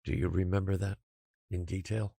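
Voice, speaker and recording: An actor playing a patient, controlling guardian who secretly rules an island, speaking in a measured, quietly menacing way in an audio drama. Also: male voice, deep voice, little pitch movement, vocal fry, husky, dry recording, exaggerated voice. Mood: calm, controlling.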